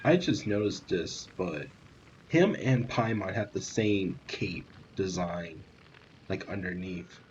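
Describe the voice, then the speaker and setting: A man's voice: sits low, animated, takes it slowly, lo-fi sound, slight background noise. A young man giving casual let's-play commentary as the host of a gaming video.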